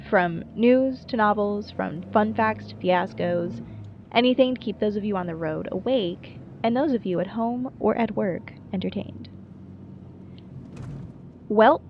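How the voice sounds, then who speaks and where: A woman's voice: medium pitch, highs cut off, slight background noise, close to an everyday voice. A woman playing a wry, playful small-town late-night radio host in a scripted monologue, chatty and dryly self-deprecating. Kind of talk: scripted radio show monologue, audio roleplay.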